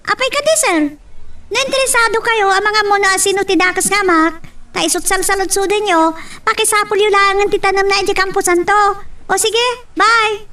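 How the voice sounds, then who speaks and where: A very high, childlike voice with a Filipino accent, sounding slightly distant from the mic. She is a pert, wounded little girl in an audio drama, firing questions with stubborn emphasis. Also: fairly even intonation, a little fast, pushed and bright. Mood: defiant. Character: sassy, wounded little girl questioning her mother and a stranger.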